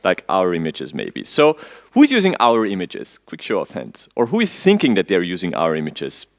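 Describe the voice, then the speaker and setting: A man from Austria with an Austrian accent, a native German speaker giving a technical conference talk in English. He quizzes the audience, drops in dry jokes and fills pauses with frequent "um"s. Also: low-pitched, huge pitch swings. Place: conference stage before an audience.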